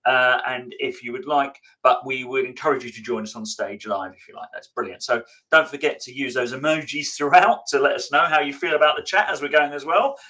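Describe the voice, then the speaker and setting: Bright-voiced podcast host man. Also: mid-range voice, brisk, hardly any pauses. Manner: chatty, stumbling restarts. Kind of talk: hosting a live interview podcast.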